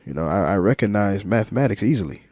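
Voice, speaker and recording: Low, mellow man speaking slowly and deliberately. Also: animated, dry recording, studio quality. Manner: slow, deliberate, with repeated words and fillers; lists ideas in measured single words. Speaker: vlogger. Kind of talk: reflective monologue to camera.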